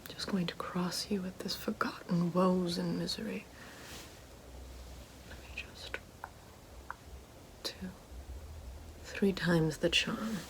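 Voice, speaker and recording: A woman playing a haughty, fallen evil queen and potion-maker in a breathy, mostly whispered ASMR roleplay. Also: fairly low pitch, fairly even intonation, low-quality recording. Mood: calm, ominous. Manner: slow, deliberate, haughty, theatrical. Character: fallen evil queen, sly potion-making sorceress down on her luck.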